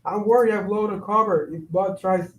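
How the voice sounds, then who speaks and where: A man's voice: high-pitched, normal speed, slight background noise, close to an everyday voice. A man acting an earnest, worried and insistent friend in a staged play reading, blunt and pressing. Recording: echoey room.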